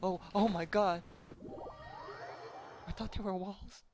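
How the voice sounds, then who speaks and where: A woman's voice: medium pitch, steady tone, slow, noisy background. A woman game streamer giving casual, unscripted and exclamatory reactions as she plays.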